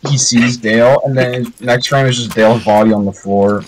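A man's voice: medium pitch, steady tone, moderate pace, a crowd in the background. A man bantering casually and crudely with teammates over noisy game voice chat. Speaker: gamer on team voice chat.

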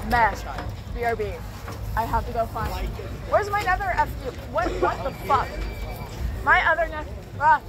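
A young woman who has lost her voice, talking hoarsely into a phone at an outdoor carnival at night. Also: high voice, lively intonation, moderate pace, pauses often. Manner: slangy, swearing, exclaiming. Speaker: vlogger. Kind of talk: casual vlog at a fair. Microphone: handheld phone. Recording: loud crowd and ride noise.

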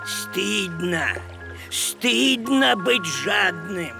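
A man voicing a kind, earnest animal peacemaker in a children's cartoon, gently admonishing. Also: sits high, animated, very slow, creaky, exaggerated voice. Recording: music behind the voice.

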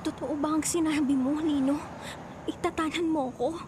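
A woman's voice: high-pitched, fairly even intonation, normal speed, noisy background, close to an everyday voice. A woman playing a frightened young student. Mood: desperate. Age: young adult. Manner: pleading, defiant. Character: frightened young female student being abducted. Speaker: radio drama actress. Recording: radio drama with music behind.

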